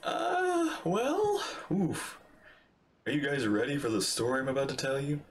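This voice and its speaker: A man's voice: a little high, very animated, unhurried, vocal fry, noisy background. A man giving casual, playful game-stream commentary with exaggerated reactions.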